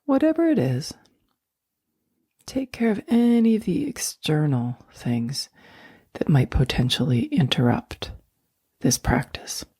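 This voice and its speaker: A woman guiding a sleep meditation in a soft, breathy near-whisper, speaking slowly and gently with long, soothing pauses. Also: sits low, lively intonation, dry recording, studio quality. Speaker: meditation guide.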